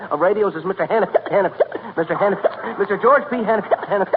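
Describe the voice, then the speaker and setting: A man's voice: high voice, fast, lightly acted. A man playing a polite store floorwalker who keeps getting stuck on words with hiccupy stutters, in a 1940s radio comedy. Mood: flustered, hiccupy. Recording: tinny, noisy 1940 radio recording.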